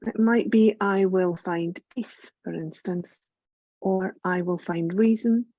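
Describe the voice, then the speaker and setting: A woman with a lowish, soft-edged voice leads a guided meditation. She speaks gently and soothingly, leaving generous pauses between phrases. The recording is dry and close, with a slightly dull, muffled sound. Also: steady tone, no room sound. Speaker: yoga nidra meditation guide.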